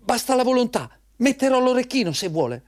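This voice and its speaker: An anxious company employee in a radio drama, his voice pitched high. He is obsequious and eager to please, running on in nervous, repetitive strings of explanation and agreement. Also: sing-song, brisk, a little mellow. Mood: eager, pleading.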